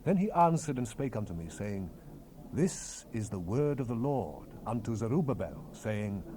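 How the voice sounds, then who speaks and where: A man narrating scripture in a solemn, measured, theatrical manner, as part of a dramatized Bible recording. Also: on the low side, sing-song, warm tone. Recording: hissy 1970s cassette rip.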